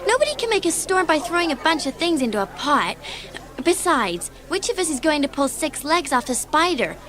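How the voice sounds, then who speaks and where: A woman's voice: high-pitched, very animated, fairly quick. A woman playing a sensible, cautious schoolgirl in a dramatised children's audiobook, with an emphatic, scolding manner. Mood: dismissive, scolding. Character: sensible, cautious, reluctant schoolgirl best friend.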